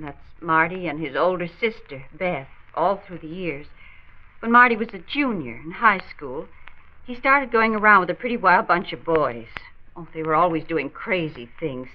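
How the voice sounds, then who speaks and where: A woman's voice: fairly low pitch, animated, normal speed, muffled recording, natural voice. An actress playing an earnest, protective widowed mother in a 1940s radio drama, speaking plainly on an old recording. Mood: earnest, pleading.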